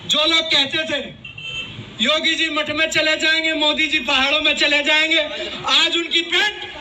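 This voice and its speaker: Very high-pitched man haranguing an echoey crowd. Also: flat delivery, unhurried, sharp tone. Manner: aggressive, rabble-rousing. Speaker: activist leader at a rally. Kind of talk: fiery political speech. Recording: echoey, noisy crowd, distant microphone. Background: rally crowd.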